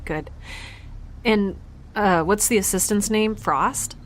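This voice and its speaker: A woman playing an exhausted, injured but determined young scientist in an audio drama, speaking in a halting, wry way that trails off mid-thought. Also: medium pitch, a little hiss or hum, lightly acted. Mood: tired, wry. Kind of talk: audio drama log monologue and dialogue.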